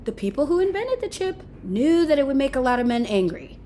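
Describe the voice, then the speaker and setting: A woman's voice: sits high, very animated, a little fast, warm tone, a little hiss or hum. A woman acting in a naturalistic audio drama as a breezy, unapologetic, sardonic woman who teases flippantly. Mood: dry, matter-of-fact. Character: breezy, unapologetic woman with an experimental safety chip.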